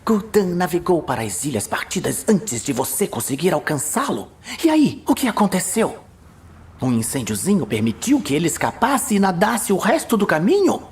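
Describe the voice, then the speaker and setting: A man's voice: high voice, big pitch swings, moderate pace, darkish tone, pitched above the performer's own voice. A man voicing a stern, scornful female warden commander with a cutting, commanding manner in a fantasy audio drama. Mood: accusing. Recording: music bed behind voice.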